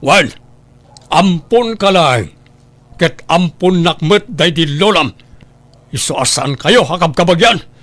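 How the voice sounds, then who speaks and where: A man's voice: a little high, expressive, slow, pauses often, a bit bright, dry recording. A man playing a cruel, greedy father in an audio drama, with a menacing, commanding manner. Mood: cold, scornful. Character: cruel, greedy gambling father threatening his son. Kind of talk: Ilocano audio drama acting.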